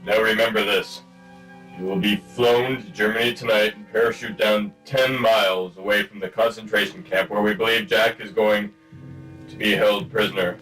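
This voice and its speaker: An actor playing a stern, self-important secret service chief in a comic spy radio play. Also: male voice, lowish voice, fairly even intonation, normal speed, a bit bright, far from the mic, soft background music, natural voice, rough recording. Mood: official, exasperated.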